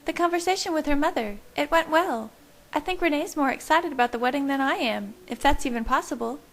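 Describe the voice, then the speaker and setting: A woman plays an excitable young vampire sister in an audiobook. The voice sits very high. The delivery is brisk and emphatic, with a bossy, bubbly temper. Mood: cheerful. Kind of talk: audiobook character voice.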